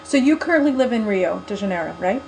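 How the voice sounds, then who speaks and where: A woman's voice: medium pitch, animated, quick pace, vocal fry, darkish tone, a little hiss or hum, low-quality recording. A woman interviewer asking short, inquisitive questions. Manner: brief, inquisitive.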